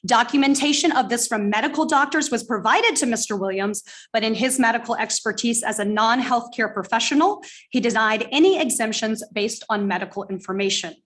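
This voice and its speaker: Loud, firm woman at a podium mic. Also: on the high side, fairly quick, hardly any pauses. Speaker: parent giving public comment. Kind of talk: reading a prepared public statement. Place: school board meeting room. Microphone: podium microphone.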